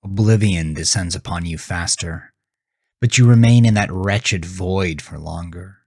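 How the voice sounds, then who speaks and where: A man narrating a horror story with a grave, deliberate, suspenseful delivery. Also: low voice, a little mellow, dry recording, studio quality. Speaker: author and narrator of horror podcast. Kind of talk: dramatic second-person horror story narration.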